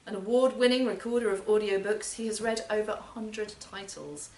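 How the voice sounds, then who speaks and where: A woman's voice: medium pitch, moderate pace, darkish tone. A woman hosting a live literary event, giving a scripted introduction for a reader. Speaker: host introducing a reading. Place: performance space in a library, live event. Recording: roomy, distant live recording with noise.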